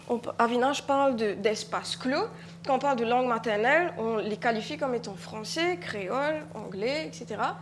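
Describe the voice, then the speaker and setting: A woman from Mauritius with a Mauritian French accent, her voice sitting a little high. She speaks as a writer at a live conference panel, reflective and halting, often restarting and repeating words. A faint hiss lies under her voice.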